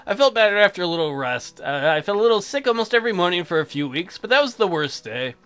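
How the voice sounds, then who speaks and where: Foul-mouthed man, high animated voice, game commentary. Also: quick pace, clear, resonant tone. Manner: crude, profane, sarcastic. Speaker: gaming video host. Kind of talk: casual let's play commentary, reading game text aloud. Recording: music behind the voice. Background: game music.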